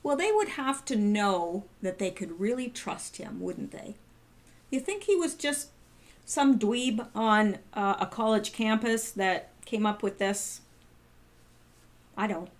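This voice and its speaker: A woman hosting her channel, reading out posts and commenting in a casual, halting way full of rhetorical questions. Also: mid-range voice, lively intonation. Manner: conversational, many fillers and restarts, rhetorical questions. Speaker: channel host.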